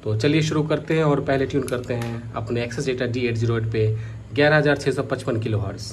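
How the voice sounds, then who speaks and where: A man's voice: mid-range voice, a little fast, slight background noise. A man casually narrating a hands-on product test as a hobbyist vlogger.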